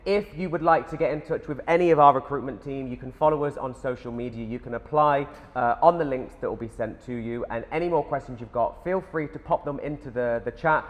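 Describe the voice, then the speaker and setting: A man's voice: mid-range voice, fairly quick, hardly any pauses. A man in his twenties hosting a live company webinar in an upbeat way, reading out viewer questions. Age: 20s. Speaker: webinar host. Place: company headquarters. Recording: roomy hall with long reverb.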